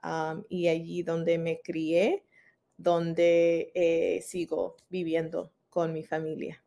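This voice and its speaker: A woman's voice: deep voice, monotone, slow, vocal fry, warm tone. A woman in her forties from Philadelphia, answering interview questions over a video call in a reflective, storytelling way. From Philadelphia, USA. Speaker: muralist guest. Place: room at home. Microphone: laptop webcam.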